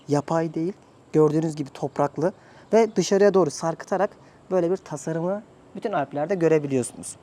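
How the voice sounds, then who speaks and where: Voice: mid-range voice, dark tone, a little hiss or hum. A travel vlogger from Turkey talking casually and conversationally, addressing viewers directly.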